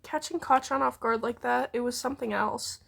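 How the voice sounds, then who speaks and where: A woman's voice: on the high side, moderate pace, gravelly, fairly bright tone. A woman casually reading a fan fiction story aloud as narrator.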